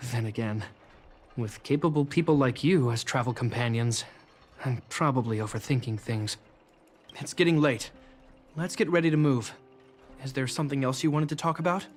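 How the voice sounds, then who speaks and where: An actor playing an earnest, protective young brother in a video game, speaking in a measured, sincere, resigned way. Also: male voice, medium pitch, close to an everyday voice. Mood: calm.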